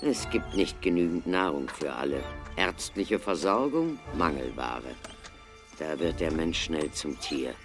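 A man playing a learned, sombre doctor in a German radio drama, speaking in a measured, lecturing manner. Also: extremely low pitch, very animated, over music, heavily acted. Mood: sombre, explaining.

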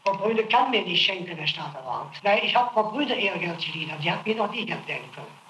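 A man's voice: high voice, sing-song, fast talker, sharp tone, reverberant, a little hiss or hum, rough recording. A man under interrogation, giving defensive and evasive answers on an archival tape.

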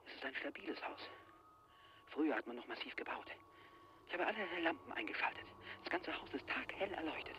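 A high, piercing male voice in a radio play, playing a terrified and obsessed traveler. The pitch swings widely between hushed dread and frantic outbursts, dramatic and agitated throughout. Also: very animated, a little fast, muffled recording, slight background noise, heavily acted. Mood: hushed fear. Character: frightened obsessed travel writer hunted by a murderous wind. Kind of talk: 1980s German radio play acting.

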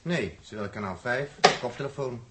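An actor playing a curious, impatient spaceship radio operator in a 1960s Dutch radio play, halting and repeating his words. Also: mid-range voice, lightly acted. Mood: eager. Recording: noisy old radio recording with clicks.

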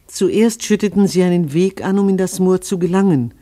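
An actor playing a solemn chronicler who recites a family history like scripture in a radio play. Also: male voice, high-pitched, moderate pace, warm tone, lightly acted. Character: solemn biblical-style chronicler of a settler family.

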